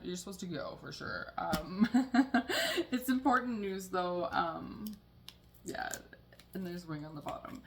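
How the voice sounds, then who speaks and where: A woman's voice: lowish voice, gravelly, fairly bright tone, a little hiss or hum. A woman giving a casual, rambling spiritual card reading to her viewers, peppered with "like" and the odd swear. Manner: chatty, rambling, swears casually, frequent laughs and "like". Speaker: tarot reader.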